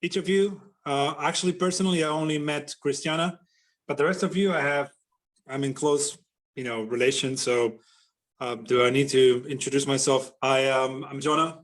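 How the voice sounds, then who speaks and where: A man's voice: medium pitch, moderate pace. A man introducing himself on a video call, chatty and laughing. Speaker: meeting participant. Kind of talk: casual online introduction.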